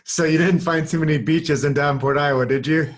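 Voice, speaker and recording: A man's voice: on the high side, steady tone, a little fast. A man hosting a podcast interview, asking friendly, upbeat questions into a studio mic. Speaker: podcast host. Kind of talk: casual interview.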